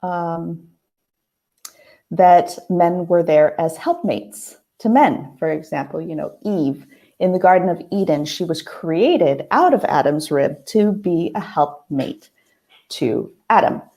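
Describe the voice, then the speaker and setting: A woman hosting a casual heart-to-heart monologue, a little hoarse, talking thoughtfully with many pauses and restarts. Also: lowish voice, expressive, dark tone. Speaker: host. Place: family house, at night.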